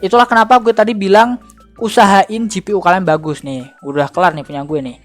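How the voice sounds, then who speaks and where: A young man presenting a casual software tutorial in an informal, slangy, chatty way. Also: high voice, fairly even intonation, brisk. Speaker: tutorial host. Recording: faint music under voice. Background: faint background music.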